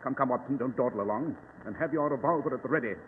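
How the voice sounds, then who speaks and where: An actor playing a commanding, keen-witted English gentleman detective, precise and clipped, with a British accent, in a 1940s radio drama. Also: medium pitch, fast talker, narrow, tinny audio, over loud music, natural voice. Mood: brisk command. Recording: noisy, phone-thin vintage radio recording.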